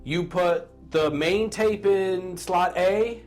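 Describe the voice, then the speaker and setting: A middle-aged American man with a somewhat high voice talks casually as a podcast co-host. His speech is informal and conversational. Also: slow pace, a little mellow, faint music behind. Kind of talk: casual chat.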